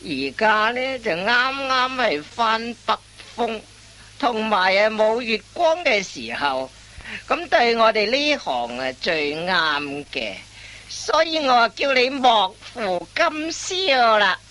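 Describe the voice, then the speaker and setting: Slow, swooping veteran master thief. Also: female voice, fairly high pitch, big pitch swings, bright, forceful tone, heavily acted. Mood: coaxing, lecturing. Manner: instructive, drawn-out phrasing full of interjections. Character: wily veteran master thief schooling an apprentice. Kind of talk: Cantonese comic radio play acting.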